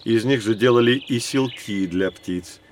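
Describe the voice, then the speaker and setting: A man's voice: medium pitch, unhurried, a little hiss or hum, close to an everyday voice. An actor playing a knowledgeable male professor who explains prehistory to children in a scripted educational audio drama. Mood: patient, explanatory. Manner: explanatory, lecturing. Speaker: educational guide.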